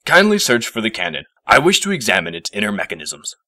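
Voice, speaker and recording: A man acts out a grave, self-important old professor from a storybook. The voice is deep and forceful, with gruff, formal phrasing. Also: wildly swooping pitch, quick pace, dry recording, heavily acted. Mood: curt, irritated. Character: gruff, pompous, grave older male professor.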